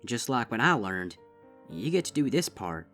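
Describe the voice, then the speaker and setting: A man's voice: medium pitch, sing-song, normal speed, a bit bright, soft background music, voice pushed up. A man voicing a stern, protective older-sister farm pony with a drawl. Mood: solemn. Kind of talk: fanfic audiobook reading.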